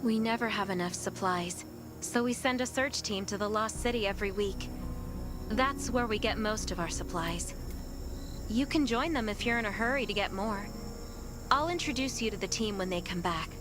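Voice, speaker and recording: A woman's voice: mid-range voice, moderate pace, music in the background, close to an everyday voice. An actress playing a helpful female supply clerk in a game, welcoming a newcomer in a friendly, informative way. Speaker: supply handler. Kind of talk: game cutscene voice acting.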